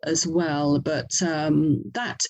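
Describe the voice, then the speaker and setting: A woman's voice: low voice, little pitch movement, extremely slow, creaky, a little mellow. Enthusiastic Englishwoman in conversation. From England. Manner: enthusiastic, effusive. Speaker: author and audio drama producer, interview guest. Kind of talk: conversational interview.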